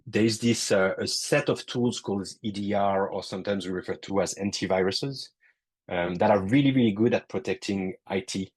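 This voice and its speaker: A man with a French accent giving a technical webinar presentation over a call, halting often with fillers and restarts. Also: low voice, breathy edge. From France. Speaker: guest presenter.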